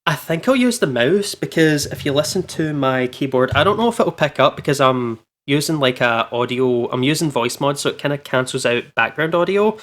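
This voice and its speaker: A man hosting a gaming channel, chatting casually and excitably, with an informal, giggly manner. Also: mid-range voice, moderate pace, hardly any pauses. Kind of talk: casual let's play chat. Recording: music behind the voice. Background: background music.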